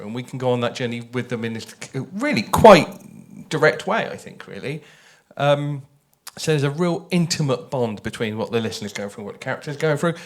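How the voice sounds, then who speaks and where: Male panel speaker, mid-pitched, thoughtful and explanatory, conversational, repeats phrases for emphasis. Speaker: audio drama writer on a festival panel.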